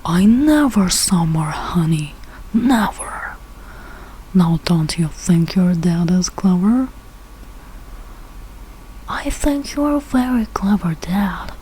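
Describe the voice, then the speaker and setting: Slow, mellow woman reading a children's storybook. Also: on the low side, expressive, husky, low-quality recording. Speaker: read-aloud reader learning English. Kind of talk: slow read-aloud of a rhyming children's storybook.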